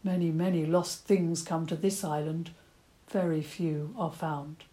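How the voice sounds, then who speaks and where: A woman voicing a gentle, courteous old male badger who stammers a little. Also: low-pitched, steady tone, measured pace. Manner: stammering, courteous, slightly eccentric.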